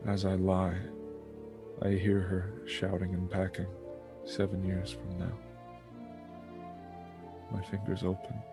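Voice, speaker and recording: A man playing a calm, melancholy godlike superhuman in a fan-made drama, speaking in a measured, detached way. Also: low voice, gravelly, exaggerated voice. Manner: flat, measured, detached delivery. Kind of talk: dramatic monologue in a fan-made online play. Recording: music behind the voice.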